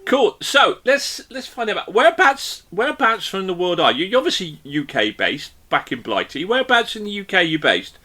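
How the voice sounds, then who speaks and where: Playful, bright-voiced male podcast host. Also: high-pitched, very animated, moderate pace, hardly any pauses. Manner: playful and teasing, rambling with many fillers. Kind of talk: joking interview chat.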